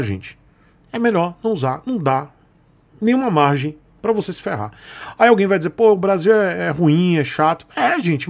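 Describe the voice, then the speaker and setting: Higher-pitched Brazilian man, blunt and emphatic. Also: creaky. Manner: blunt, emphatic, colloquial with repeated short phrases. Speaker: YouTube host answering viewers' questions. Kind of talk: casual advice chat to camera. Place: small home room, indoors.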